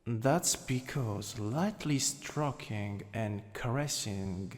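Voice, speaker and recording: A man with an Italian accent voicing a teasing, lowkey dominant anatomy lecturer in an ASMR roleplay. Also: drawn-out words. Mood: instructive, playful. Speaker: ASMR voice actor. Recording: long reverberant hall echo.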